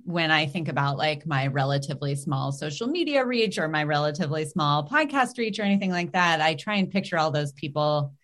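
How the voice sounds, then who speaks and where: A chatty, upbeat woman in middle age hosts a podcast conversation. Her voice sits a bit low for a woman, and she fills her sentences with easy "likes" and "ums". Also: brisk, few pauses, a bit bright. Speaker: podcast host. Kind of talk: casual podcast interview.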